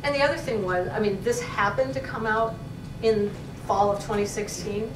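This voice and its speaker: A woman professor talks through audience questions in a thoughtful way, with many fillers. She is picked up from across an echoey room, with steady noise behind her. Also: medium pitch, moderate pace. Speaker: professor and co-editor. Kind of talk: library talk, answering questions. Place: library event room. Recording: echoey room, distant mic, noisy.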